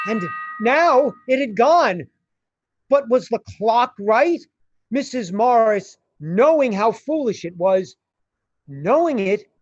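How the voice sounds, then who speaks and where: High-pitched, slow man narrating a radio drama. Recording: dull, roomy amateur recording.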